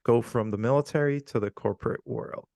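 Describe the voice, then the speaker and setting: Smooth-voiced man in his forties, from Guyana. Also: medium pitch, a little fast, no room sound, studio quality. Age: 40s. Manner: relaxed, chatty, frequent ums. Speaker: podcast guest. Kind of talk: casual interview talk.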